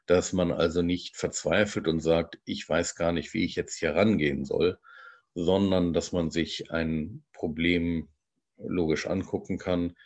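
A bilingual man, a translator, giving an online lecture over slides in a measured, explanatory way, with frequent hesitations. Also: low voice, fairly even intonation, darkish tone. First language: bilingual German and English.

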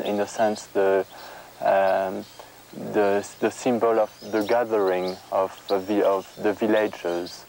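A man from France, answering interview questions in halting English, often searching for words and restarting. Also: on the low side, a little mellow, a little hiss or hum. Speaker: volunteer interviewee.

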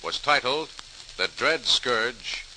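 A man's voice: mid-range voice, expressive. A man with an American accent reading scripted narration as a 1940s radio announcer, formal and dramatic. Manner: formal, dramatic, measured phrasing. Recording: hissy, crackly 1940s radio recording.

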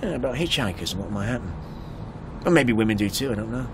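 A man's voice: fairly low pitch, lively intonation, quick pace. A man acting a chatty, probing motorist in a naturalistic radio drama, speaking hesitantly over car noise. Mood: awkward, probing. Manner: hesitant, probing small talk. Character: chatty, probing male motorist, insurance assessor. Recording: clear vehicle noise around the voice. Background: car engine and road ambience.

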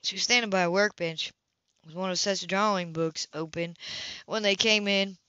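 A woman's voice: mid-range voice, steady tone, moderate pace, sharp tone, clear, resonant tone, dry recording. A woman reading a novel aloud as amateur audiobook narration. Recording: dull lo-fi home recording.

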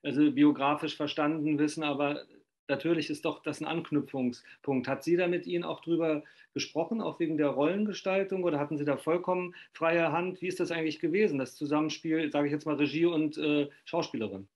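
A man's voice: on the high side, steady tone, fairly quick, a little mellow. Rambling middle-aged male interviewer, dull webcam sound. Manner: rambling, hesitant, frequent fillers. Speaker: interviewer, magazine host. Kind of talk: casual interview questions. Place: home room, indoors. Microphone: video call webcam.

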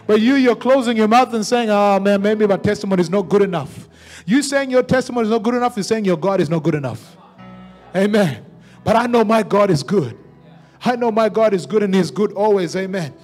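A man's voice: high voice, brisk, a little mellow, faint music behind. A young man preaching a sermon on a church stage into a handheld microphone, punctuating his points with repeated amens.

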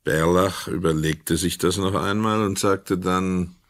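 A man narrating a radio drama from a script in a measured, literary storytelling manner. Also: low-pitched, fairly even intonation.

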